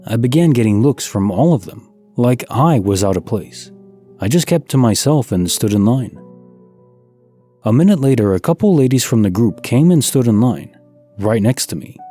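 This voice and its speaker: Soft-spoken man narrating calm stories. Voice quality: soft and low. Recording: light music underneath.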